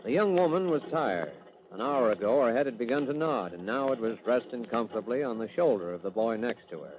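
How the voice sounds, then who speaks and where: A man's voice: mid-range voice, expressive, a little fast. An American man announcing and narrating an old-time radio drama in a dramatic, declamatory, scripted style. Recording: muffled old radio recording with music.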